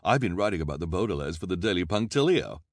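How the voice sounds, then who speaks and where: A man's voice: deep voice, very animated, fast talker, dry recording, studio quality. A man voicing an eager woman newspaper reporter who chews gum, in an audiobook.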